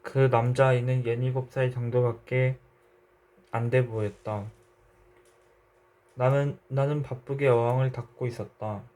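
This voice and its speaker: A man narrating a literary short story in a measured, reflective way. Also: medium pitch, flat delivery, a little mellow.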